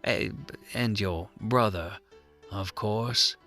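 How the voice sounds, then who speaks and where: A man's voice: fairly low pitch, drawn-out words, bright, forceful tone, faint music behind, heavily acted. A man playing a grim, dogged sheriff in an Old West audio drama, folksy and stern. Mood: grim resolve.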